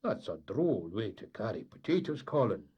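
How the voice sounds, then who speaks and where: A man voicing a mild, gently fussy old boat captain. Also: lowish voice, big pitch swings, moderate pace, slightly rough, exaggerated voice. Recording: dull lo-fi recording.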